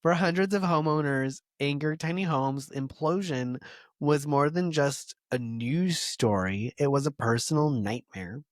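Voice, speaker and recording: A man's voice: fairly high pitch, a little slow, no echo. Man reading a story in a dry room. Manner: conversational, laughs easily, reads quotes aloud. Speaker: podcast co-host. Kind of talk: casual chat reading a story.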